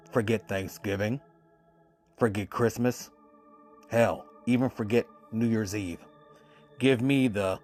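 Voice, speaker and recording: A man hosting a horror radio podcast, introducing episodes in an enthusiastic, emphatic way. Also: fairly low pitch. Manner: enthusiastic, emphatic, drawn-out words. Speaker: podcast host.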